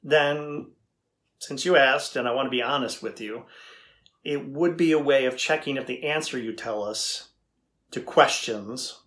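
A middle-aged man playing a thoughtful AI researcher in a dramatized interview, deliberate and conversational as he probes. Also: medium pitch, fairly bright tone, close to an everyday voice. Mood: explanatory, thoughtful. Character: thoughtful male AI researcher questioning a machine. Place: indoor room with backdrop, seated.

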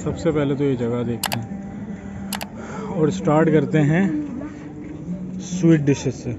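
A young man giving a relaxed, chatty walkthrough of a buffet, filming himself on a phone at arm's length. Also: fairly high pitch, unhurried, loud music behind the voice. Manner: relaxed, chatty, pointing things out as he walks. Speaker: food vlogger. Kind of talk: casual walkthrough vlog. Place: outdoor buffet at a waterfront restaurant. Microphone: phone camera held at arm's length. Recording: dull lo-fi sound with crowd chatter.

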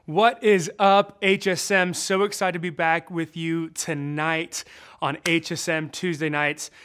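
A man preaching a casual youth sermon, upbeat and chatty, hoarse from losing his voice. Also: on the high side, level tone, unhurried, a bit bright, faint music behind. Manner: upbeat, chatty, earnest in prayer. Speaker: youth pastor.

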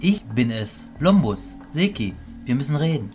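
A man plays a fatherly mentor mage in an audio play. He talks at a middle pitch, calm and grave, stating things matter-of-factly over faint music. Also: measured pace. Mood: serious. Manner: composed, matter-of-fact.

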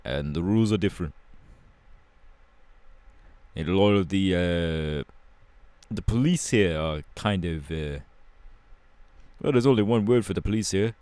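A low-pitched, cocky young punk hero from an audio roleplay, acted by a man, talks in a London accent. He is slangy, irreverent and laid-back, feeling his way through sentences. The sound is close and dry. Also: animated, a little mellow, dry recording. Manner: casual, slangy, halting, irreverent.

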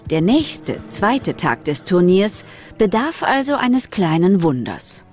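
Woman reading a kids audio story, animated, medium pitch, music behind. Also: slow. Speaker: narrator. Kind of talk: scripted children's story narration.

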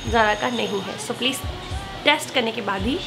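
A woman's voice: a little high, a little fast, gravelly, music in the background. A woman, chatting casually and instructively as she narrates a recipe, switching in English words. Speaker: cooking vlog host. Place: home kitchen, indoors.

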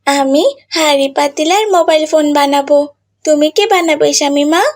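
A woman voicing an excitable, bossy little girl in a children's cartoon, chatty and expressive. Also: high voice, moderate pace, close to an everyday voice. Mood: playful.

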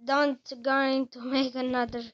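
Young female streamer, halting self-talk, unhurried, thin home-mic sound. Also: high-pitched, little pitch movement, dull audio. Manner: halting, talks to herself while playing. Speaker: gamer playing a horror game. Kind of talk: casual let's-play commentary.

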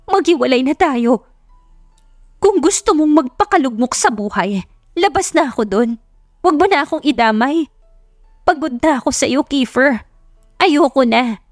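A scornful wife who has had enough , acted out by a woman narrator. Her voice is raised high and she hammers her words home, cutting and repetitive. It is audio drama over background music. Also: expressive, moderate pace, long pauses, dry recording, studio quality. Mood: bitter, weary. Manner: emphatic, cutting, repeating herself. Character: fed-up, scornful young wife ending her marriage.

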